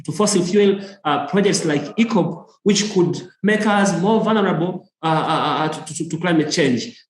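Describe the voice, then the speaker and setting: A man's voice: fairly high pitch, roomy sound, poor audio. A man from Tanzania speaking up in an online panel, hesitant, with many fillers and repeated words. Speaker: audience participant. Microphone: video call.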